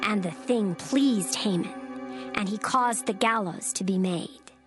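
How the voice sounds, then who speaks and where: A woman narrating a dramatized scripture recording in a measured, solemn storytelling manner. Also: medium pitch. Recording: narration over clear background music. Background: background music.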